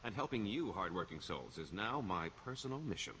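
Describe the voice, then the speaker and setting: A silver-tongued video game swindler with a low male voice that rises and dips with great expression. He is self-assured and wry, always working a sales pitch. A light layer of noise sits behind him. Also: big pitch swings, brisk, a bit bright. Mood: glib, ingratiating. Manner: wheedling salesman patter, wry. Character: smooth-talking male con-artist schemer, sly and self-assured. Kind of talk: video game dialogue acting.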